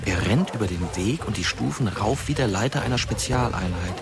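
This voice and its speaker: Guy narrating a radio play, fairly low voice, reading scripted story, music loud underneath. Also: lively intonation, brisk.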